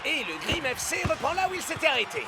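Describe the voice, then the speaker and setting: A bombastic male cartoon sports commentator, pitched high and swinging widely in intonation. He gives dramatic, emphatic match calls. Music plays underneath. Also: very animated, fast, full character voice. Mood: excited, emphatic.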